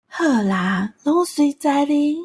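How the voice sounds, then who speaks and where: A woman playing a gentle, practical old country grandmother with a Taiwanese accent in a radio drama. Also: high voice, animated, slow pace. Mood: coy.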